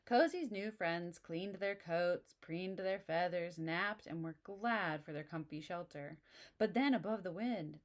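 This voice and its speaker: Friendly woman reading a picture book. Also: fairly low pitch. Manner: friendly, explaining to kids. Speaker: children's book reader. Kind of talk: picture-book read-aloud with asides.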